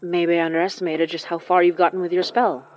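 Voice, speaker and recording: A woman playing a steady, protective young spell caster in an audio horror drama, with casual, natural delivery. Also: fairly low pitch, fast talker, natural voice. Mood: guarded. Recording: dry, slightly muffled studio.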